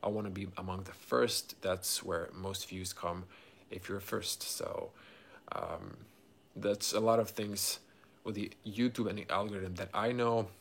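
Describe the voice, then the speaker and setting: A man from Croatia with a Croatian accent, casually chatting to viewers as a car reviewer on a live stream, halting and thinking aloud. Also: fairly low pitch, fairly even intonation, slight background noise. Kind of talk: casual unscripted chat with viewers.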